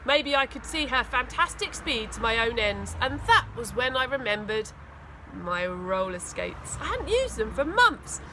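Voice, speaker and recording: A woman reading a children's story aloud with expressive, dramatic emphasis. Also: a little high, measured pace, background noise. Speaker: school teaching assistant reading aloud.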